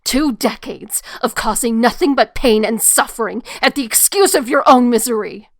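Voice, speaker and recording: A woman's voice: on the high side, expressive, normal speed, no echo, clean studio sound. A woman playing a guilt-ridden, defiant young rich heiress in an audio drama, intense and emotional. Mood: bitter, defiant.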